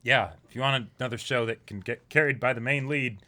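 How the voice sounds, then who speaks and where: A man's voice: mid-range voice, a little fast, bright, forceful tone. A man co-hosting a podcast, chatting casually in an ordinary mid-range voice. He rambles with eager energy and leans on "like" as he talks. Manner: enthusiastic, rambling, many "like" fillers. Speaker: podcast co-host. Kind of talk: casual chat.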